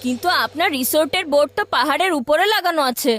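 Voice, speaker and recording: A woman's voice: high voice, fast talker, music in the background, natural voice. A woman voicing a cheeky young boy in a family cartoon dub. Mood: puzzled.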